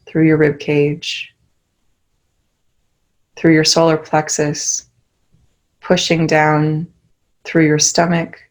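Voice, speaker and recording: A young woman leading a guided meditation, giving soft, soothing instructions. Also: low voice.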